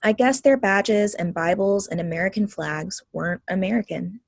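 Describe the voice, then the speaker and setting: A woman reading an essay aloud at a group reading, in a measured, deliberate way. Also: medium pitch, creaky, no room sound, studio quality.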